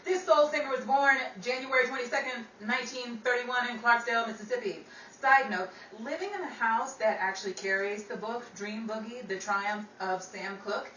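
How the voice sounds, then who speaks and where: A woman's voice: on the high side, moderate pace, hardly any pauses, sharp tone. A woman playing a chatty, wisecracking 1930s radio gossip gal in an old-time radio drama, with theatrical vintage patter. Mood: informative, chatty. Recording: roomy, distant mic with faint noise.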